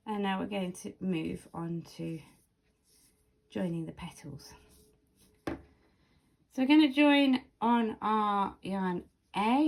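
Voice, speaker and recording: Slow, croaky woman teaching a craft. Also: mid-range voice. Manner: careful, clear enunciation. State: slightly croaky voice. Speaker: crochet tutorial host. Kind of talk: casual step-by-step craft instruction. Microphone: camera or phone's built-in microphone, no external mic.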